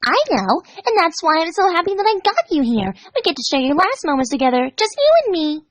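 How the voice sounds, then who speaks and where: Manic female pony villain, put on by a woman reader, fan-fiction horror; squeaky-high, animated, cheerfully cruel and childish. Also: brisk, no echo. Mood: gleeful. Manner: bubbly, chatty, childish glee with menace. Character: manic, cheerful female pony who gleefully torments her friend.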